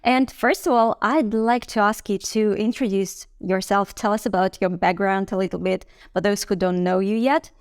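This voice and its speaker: Smooth-voiced woman hosting an interview. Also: medium pitch, steady tone, moderate pace, few pauses, dry recording, studio quality. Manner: friendly, encouraging, with fillers. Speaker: interviewer, host. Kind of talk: interview, conversational.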